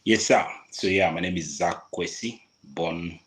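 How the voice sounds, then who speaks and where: Very deep-voiced man in his forties. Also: unhurried. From Kenya. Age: 40s. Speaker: podcast guest. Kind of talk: casual chat. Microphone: remote call.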